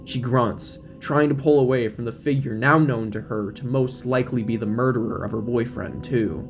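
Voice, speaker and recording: A man's voice: medium pitch, fairly even intonation, moderate pace. Man narrating horror over music. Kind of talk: scripted dramatic narration of a horror story. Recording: music playing behind the voice.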